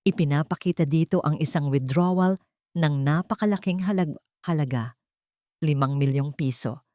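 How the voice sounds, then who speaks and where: A woman voicing a stern, relentless male attorney in an audio drama, speaking in a deliberate, formal, pressing way. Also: sits low, dark tone, no echo, clean studio sound, natural voice.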